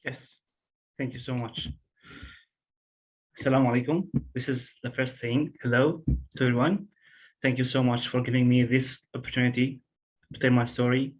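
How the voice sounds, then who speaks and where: A man, telling his personal story slowly and formally over a video call. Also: mid-range voice, flat delivery, poor audio. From Syria. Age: 20s. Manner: slow, careful, formal. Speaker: storyteller guest.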